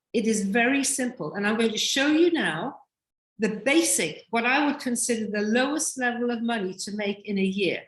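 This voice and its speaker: Woman presenter, slightly high voice, croaky, insistent pitch over a video call. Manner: insistent, repeats points emphatically. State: croaky from illness. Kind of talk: sales pitch.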